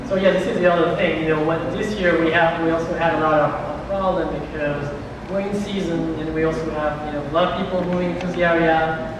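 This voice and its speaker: A man's voice: on the high side, steady tone, background noise. Man speaking from far in an echoey hall. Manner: halting, many restarts and fillers. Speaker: presenter. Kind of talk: public meeting talk.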